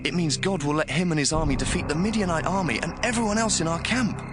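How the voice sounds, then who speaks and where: A man's voice: on the high side, fast talker, music in the background, close to an everyday voice. A male actor playing an earnest, urgent ancient soldier in a dramatized audio Bible, with declamatory delivery.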